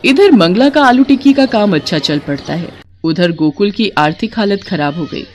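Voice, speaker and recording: A woman narrator tells a scripted moral tale. Her voice is mid-pitched and she keeps to a storytelling delivery. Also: lively intonation, moderate pace, slight background noise.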